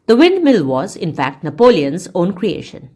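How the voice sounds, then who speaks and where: Woman, slightly low voice, very lively intonation, expressive read-along storytelling, deliberate instructive emphasis. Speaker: audiobook reader and English teacher.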